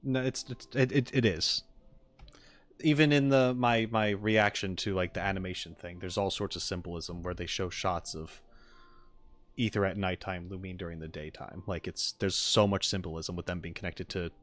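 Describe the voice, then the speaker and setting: A man doing casual live reaction commentary as a game streamer, musing and haltingly thinking aloud. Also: low-pitched, steady tone, fairly bright tone. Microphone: close streaming mic.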